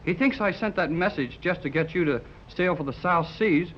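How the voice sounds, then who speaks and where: An actor playing an eager, excitable teenage boy who gushes in slangy exclamations, in 1930s radio serial style. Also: high voice, moderate pace, natural voice. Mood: earnest. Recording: dull, noisy 1930s radio transcription.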